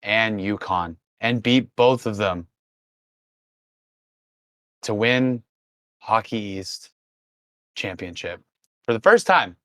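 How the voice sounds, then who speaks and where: A man's voice: on the low side, lively intonation, takes it slowly, pauses often, fairly bright tone, dry recording. A man who has lost his voice, co-hosting a casual sports podcast and rambling through a list of teams while correcting himself. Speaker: podcast co-host.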